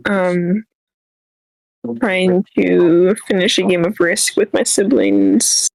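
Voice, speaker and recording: A woman joins a podcast group over an online voice call and answers in a soft, hesitant way. Her speech is slow and gravelly with vocal fry, and the sound is close and dry. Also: on the low side, big pitch swings, no echo, rough recording. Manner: soft-spoken, hesitant, with ums. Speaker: podcast participant. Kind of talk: casual chat on a call.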